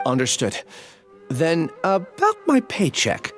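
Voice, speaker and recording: A man's voice: a little high, wildly swooping pitch, slow pace, exaggerated voice. An actor playing a troubled, apologetic young man in a video game, earnest, hesitant and polite. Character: troubled, apologetic young male research clerk with amnesia. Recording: game music behind.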